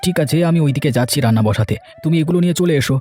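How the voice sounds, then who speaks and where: A man's voice: fairly high pitch, rapid-fire, a little mellow, soft background music, natural voice. A man playing an eager, humble young helper at a village eatery in a cartoon, speaking deferentially. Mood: eager, friendly. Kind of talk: cartoon voice acting.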